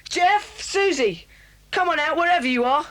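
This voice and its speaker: An actress voicing a nervous young schoolboy who whispers anxiously and stammers. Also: female voice, high-pitched, lively intonation, normal speed, fairly bright tone. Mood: agitated.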